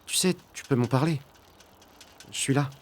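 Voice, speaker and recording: An actor playing a gentle, caring teenage boy in an audio drama, soft and reassuring in manner. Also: mid-range voice, moderate pace, lightly acted. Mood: concerned.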